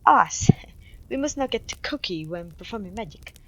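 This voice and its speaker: A woman's voice: mid-range voice, animated, moderate pace, fairly bright tone. A woman with a Mexican accent playing a clumsy, cheerful witch teacher in an ASMR roleplay, theatrical and genteel in her encouragement. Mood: gentle, instructive. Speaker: voice actor.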